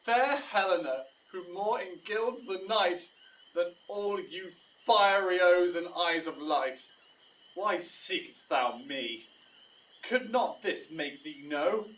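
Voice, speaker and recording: An actor playing an ardent, fickle young lover in a Shakespearean audio drama, speaking verse with theatrical emphasis. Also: male voice, high voice, expressive, takes it slowly, a bit bright, slight background noise, heavily acted. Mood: cold, dismissive. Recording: voice distant from mic.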